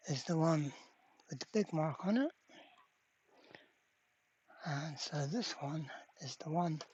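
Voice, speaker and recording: Slow-talking, husky repair hobbyist, muffled sound. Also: medium pitch, darkish tone. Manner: mutters to self, mild swearing. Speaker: electronics repairer presenting a workbench video. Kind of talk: casual hands-on repair narration. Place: indoor workbench.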